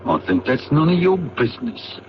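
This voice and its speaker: An actor playing a gruff, evasive, shady airfield boss, brusque and mocking, in an old-time radio drama. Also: male voice, on the high side, big pitch swings, moderate pace. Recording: dull radio recording, faint noise.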